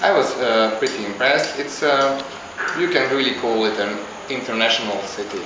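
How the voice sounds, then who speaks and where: A man from Hungary, answering interview questions in a halting, casual way as he searches for words. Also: medium pitch, noisy background. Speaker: interviewee, visiting traveler. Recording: very echoey with clear background ambience.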